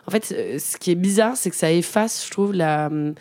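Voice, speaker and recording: A woman co-hosting a casual film podcast, often pausing and searching for words with many fillers. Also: on the low side, dark tone, no room sound. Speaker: podcast co-host.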